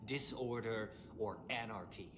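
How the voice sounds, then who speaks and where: An actor voicing a solemn, haughty young male leader in a video game, speaking in a formal, measured, rhetorical way. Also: fairly low pitch. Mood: grave, stern. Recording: loud game music underneath. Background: loud music.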